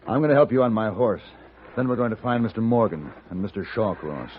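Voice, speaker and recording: An actor playing a stern, controlled gunfighter hero in a 1950s radio western, speaking in a clipped, grave way. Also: male voice, on the low side, animated, brisk, husky. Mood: gentle concern. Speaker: lead actor and narrator. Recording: muffled old radio recording with music.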